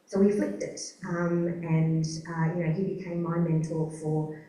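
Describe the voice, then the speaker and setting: Woman, Australian accent, slightly low, mellow, chatty panel talk, distant mic. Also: flat delivery, measured pace. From New Zealand. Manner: chatty, many fillers and restarts. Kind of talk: panel discussion at a conference. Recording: roomy conference hall, mic far off.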